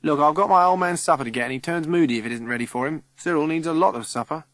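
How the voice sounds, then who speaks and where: A male reader voicing a brisk, no-nonsense woman who looks after a school building. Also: a little high, a bit bright, no room sound, voice pushed up. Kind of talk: audiobook character reading.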